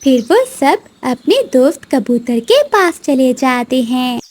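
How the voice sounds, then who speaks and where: A woman's voice: high voice, big pitch swings, takes it slowly, darkish tone. A woman narrating a scripted moral story for children.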